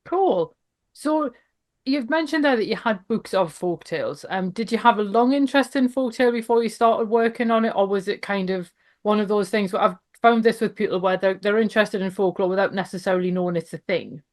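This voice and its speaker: Podcast host: woman, medium-pitched voice, relaxed and chatty interviewer. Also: brisk. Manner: casual, chatty questioning.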